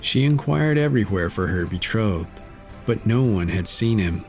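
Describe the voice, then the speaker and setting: A man narrates an old fairy tale in a fairly low voice. His reading is scripted and measured, in the manner of an audiobook storyteller, with music present. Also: animated.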